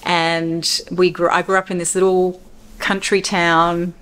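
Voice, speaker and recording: A woman with an Australian accent and a low, deep speaking voice chats at length to camera. She rambles in a relaxed way, often restarting her sentences mid-thought. She sounds like a middle-aged vlogger talking from home. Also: a little slow, clean tone, low-quality recording. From Western Australia. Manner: rambling, chatty, self-interrupting with restarts. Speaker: perfume YouTuber. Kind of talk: casual Q&A vlog talk.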